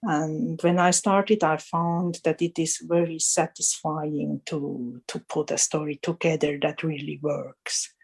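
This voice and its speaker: Slow, mellow-voiced woman guest. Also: deep voice, steady tone, vocal fry, slight background noise. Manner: thoughtful, hesitant, with restarts. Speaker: guest, magazine editor. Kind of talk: interview answers.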